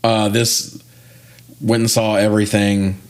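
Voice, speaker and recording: Low-pitched man chatting casually about movies. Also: little pitch movement, unhurried. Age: 40s. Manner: informal, profane, jokey storytelling. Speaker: podcast co-host. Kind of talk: casual film review chat.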